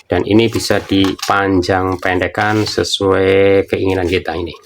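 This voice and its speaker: A man's voice: low voice, little pitch movement, measured pace, creaky, background noise. A man giving a casual product demonstration, explaining things informally and often repeating himself. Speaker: product reviewer.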